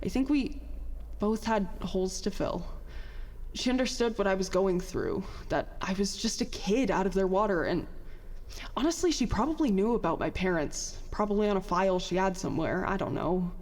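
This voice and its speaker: A woman playing a bitter, worn-out young inventor in a confessional audio drama monologue, halting, self-deprecating and emotional. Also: medium pitch, animated, darkish tone. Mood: halting, subdued. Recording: long hall-like reverb on voice.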